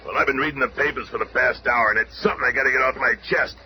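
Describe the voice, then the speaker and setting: Tough brawling monster hero, noisy recording. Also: male voice, mid-range voice, racing, bright, forceful tone. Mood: gruff, grumbling. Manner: tough-guy streetwise slang. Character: gruff, hot-tempered brawling rock-monster strongman hero. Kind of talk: 1970s radio superhero drama. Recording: noisy old radio recording.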